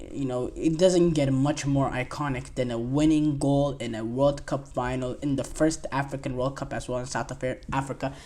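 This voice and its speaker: A man's voice: on the high side, darkish tone. A twenty-year-old man hosting a casual solo sports podcast, rambling with lots of fillers and restarts as he heaps enthusiastic praise. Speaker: podcast host.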